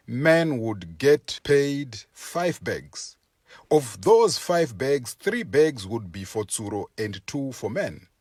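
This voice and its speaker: A man reading a folktale aloud as a storyteller, with expressive, deliberate and dramatic phrasing for young listeners. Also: medium pitch, husky, no room sound, studio quality. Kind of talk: expressive scripted story narration for children.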